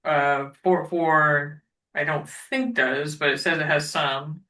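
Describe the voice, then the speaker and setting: A woman's voice: deep voice, steady tone, takes it slowly, sharp tone. A woman chairing a committee meeting over a call, hesitant and trailing off with many fillers. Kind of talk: meeting discussion. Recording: echoey room, far from the mic.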